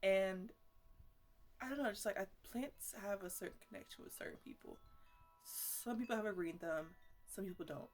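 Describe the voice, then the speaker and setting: Playful rambling botanist played by a woman, soft music behind. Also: mid-range voice, lively intonation, moderate pace, lightly acted. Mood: playful, earnest. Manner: rambling, bantering, slangy. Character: playful, teasing woman botanist chatting to sentient plants. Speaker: ASMR roleplayer. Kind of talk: improvised casual ASMR roleplay.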